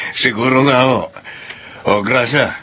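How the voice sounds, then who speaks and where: A man's voice: medium pitch, slow, pushed and bright. A man with a Filipino accent playing an honest, patient husband and son in a radio drama. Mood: friendly. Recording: dull radio sound, music underneath.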